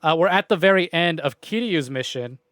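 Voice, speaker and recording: A man with a fairly high-pitched voice talks casually to his livestream viewers. His delivery is rambling and informal, with loose phrasing and the odd mild swear. The sound is dry, with no room echo. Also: lively intonation, fairly quick, a bit bright. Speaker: video game livestreamer. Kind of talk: casual chatty stream sign-off to viewers.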